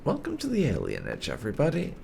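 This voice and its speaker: A man's voice: mid-range voice, wildly swooping pitch, quick pace, creaky, music in the background. A man hosting a gaming video, giving casual let's play commentary in a wry, chatty way.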